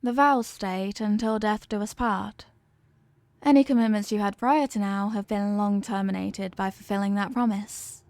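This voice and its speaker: A woman voicing a possessive, regal evil queen in a scripted ASMR roleplay, speaking formally and in measured phrases, doting yet coolly manipulative. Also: medium pitch, steady tone, no room sound, lightly acted. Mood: coolly dismissive. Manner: formal, measured, softly commanding.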